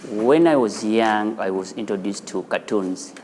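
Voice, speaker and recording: A man's voice: on the low side, unhurried, in a large hall, noisy background. A man with a Ugandan accent, speaking as the founder of an animation studio in a filmed profile interview. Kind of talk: interview and studio tour for a news feature. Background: music.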